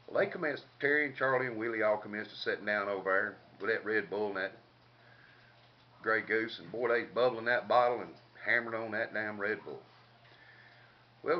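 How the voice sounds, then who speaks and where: Older man, Southern US accent, lowish voice, folksy country drawl, comedic casual storytelling, slightly noisy lo-fi sound. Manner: folksy, drawling country talk with colorful slang and mild profanity. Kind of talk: casual comic personal storytelling. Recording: dull lo-fi home recording, background noise.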